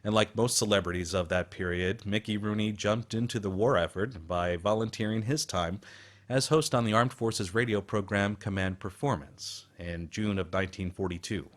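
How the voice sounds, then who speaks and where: Low male voice, radio host, scripted documentary narration, measured storytelling delivery.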